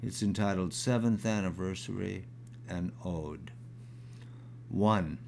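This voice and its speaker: Poet, man in his 60s, deep and gravelly, unhurried reading of his own verse. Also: darkish tone, slight background noise. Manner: halting with fillers when talking, measured when reciting. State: crackly, gravelly voice.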